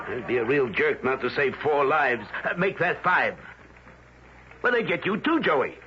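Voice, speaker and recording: Brash news guy on a phone call, slangy, urgent, pitch jumping around, dull old radio play. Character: brash, loyal male newspaper man on telephone. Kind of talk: 1970s radio drama acting. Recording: muffled, noisy old radio broadcast.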